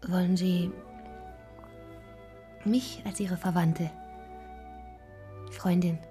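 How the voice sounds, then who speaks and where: An actress playing a devoted, gentle gentlewoman in love in a German audio drama, tender and earnest. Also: fairly low pitch, steady tone, drawn-out words, faint music behind. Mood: loving, earnest.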